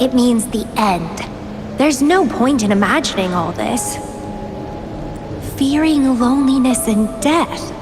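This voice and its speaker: Casting: young female game fighter, high voice, slow and brooding, obsessive with teasing menace, over music. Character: enigmatic young woman fighter, obsessive, melancholy, playfully bloodthirsty. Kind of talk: scripted video game voice acting. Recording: music behind the voice.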